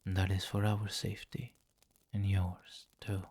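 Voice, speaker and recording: Man playing a slow, low-voiced seductive vampire king. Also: little pitch movement. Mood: haughty, mocking. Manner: slow, coaxing, possessive, softly commanding, often near whisper. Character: seductive, domineering male vampire king, velvety and condescending. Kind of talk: ASMR roleplay with hypnotic murmuring.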